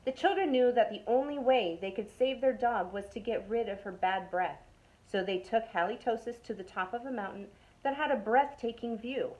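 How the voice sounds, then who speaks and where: A young adult woman from a youth club, reading a picture book aloud to children with expressive delivery that drops to a whisper for suspense. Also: fairly high pitch, normal speed, lo-fi sound.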